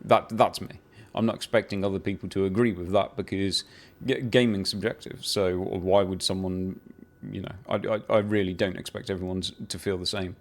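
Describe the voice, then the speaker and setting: A man chatting casually about a video game he has been playing, rambling with restarts and the odd swear, building into excited bursts. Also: deep voice, normal speed. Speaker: gaming video creator. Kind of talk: casual unscripted game talk to camera.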